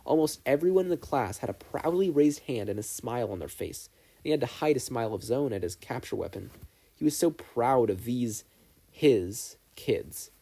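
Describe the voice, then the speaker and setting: A man's voice: medium pitch, animated, moderate pace, dark tone, slightly rough. A man reading a fan fiction story aloud as an amateur narrator. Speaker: podfic narrator.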